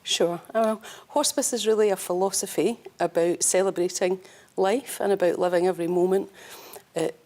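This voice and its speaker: A woman guest who runs a hospice explains its work in a calm, measured voice. She speaks articulately and chooses her words with care. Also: medium pitch. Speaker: hospice chief executive guest. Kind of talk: interview answers.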